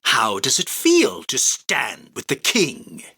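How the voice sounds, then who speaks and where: A man's voice: medium pitch, wildly swooping pitch, slow pace, creaky, sharp tone, breathy edge, heavily acted. A male voice actor playing an arrogant, grandiose self-proclaimed king of card duelists, with a pompous, commanding, theatrical delivery for a video game. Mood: haughty, proud. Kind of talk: video game character battle lines.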